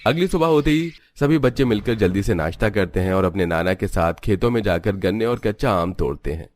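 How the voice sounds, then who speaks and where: Low-pitched man narrating a story. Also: animated, a little fast, darkish tone. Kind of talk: scripted Hindi family-story narration.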